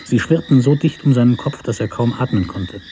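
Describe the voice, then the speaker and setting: A man reading a literary story as narrator in a measured, sober manner. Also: medium pitch, animated, dark tone, slight background noise.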